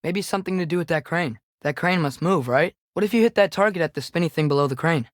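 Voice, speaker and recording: A young man plays an earnest American teenage boy, a curious game companion with a fairly high voice. He talks casually and youthfully, often questioning and suggesting, in a close, dry recording. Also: fast talker, no room sound, close to an everyday voice. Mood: inquisitive, matter-of-fact. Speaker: video game companion character. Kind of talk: scripted game dialogue, conversational.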